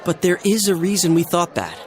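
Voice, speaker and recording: An American man voicing an earnest, excitable teenage schoolboy in a video game, talking in a casual, expressive way. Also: high-pitched, fairly quick. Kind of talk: English video game voice acting. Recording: background music and crowd chatter behind.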